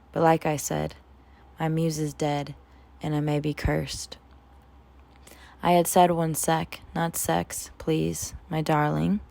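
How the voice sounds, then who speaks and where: A woman in her twenties giving a spoken word poetry reading as a poet, with a measured, dramatic delivery. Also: sits low, creaky, darkish tone. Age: 20s.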